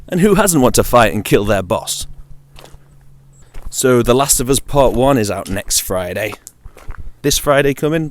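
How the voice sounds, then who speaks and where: A man with a British accent chatting informally on his own about games, in a dry, wry, jokey manner. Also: medium pitch. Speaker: video game vlogger.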